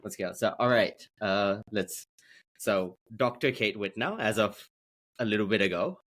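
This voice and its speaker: A man hosting a podcast from an office, friendly and halting, with many ums and restarts. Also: on the low side, expressive, fairly bright tone. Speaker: podcast host.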